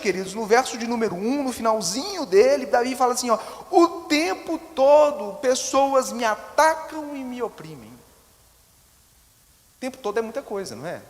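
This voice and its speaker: A Brazilian man in his forties with a Brazilian Portuguese accent, preaching a sermon as pastor and talking to the congregation with storytelling and rhetorical asides. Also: high voice. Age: 40s. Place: church sanctuary. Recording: long reverb of a church hall.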